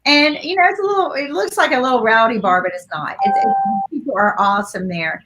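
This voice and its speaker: A woman panelist telling a story in a lively, chatty way, laughing as she goes. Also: on the high side, quick pace, noisy background. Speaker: panelist, music producer. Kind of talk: casual storytelling.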